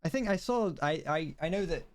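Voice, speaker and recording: A man's voice: on the high side, lively intonation, fairly quick, a little hiss or hum. A young man streaming as he builds a model kit, chatting casually, rambling, correcting himself and stumbling over his words.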